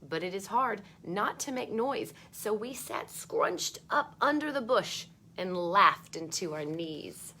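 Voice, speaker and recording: A woman reading a picture book aloud for children, expressive, with chatty asides spoken straight to young listeners. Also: medium pitch, takes it slowly, hardly any pauses, slight background noise.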